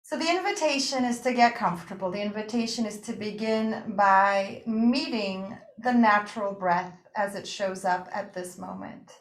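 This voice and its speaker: A woman teaching breathing and yoga nidra, guiding a relaxation practice with gentle, invitational phrasing and pauses. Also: medium pitch. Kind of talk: guided meditation and relaxation instruction. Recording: roomy, echoing room sound.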